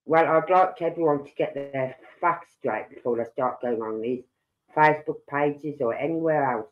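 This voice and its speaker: A middle-aged man, slow and rambling as he hosts a livestream chat with a head cold and sore throat. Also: medium pitch. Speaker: livestream host. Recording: dull lo-fi sound.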